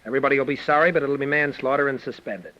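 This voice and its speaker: On a dull, muffled vintage radio recording, a cocky gunman played by a man talks in a medium-pitched voice. He is a menacing schemer, slangy and taunting, straight out of a 1940s crime drama. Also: rapid-fire, close to an everyday voice. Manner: slangy, taunting tough-guy delivery.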